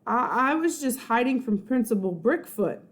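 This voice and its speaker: Woman acting an anxious, dramatic young schoolboy, lively pitch. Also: moderate pace, natural voice. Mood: flustered. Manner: stammering, wide-eyed, dramatic.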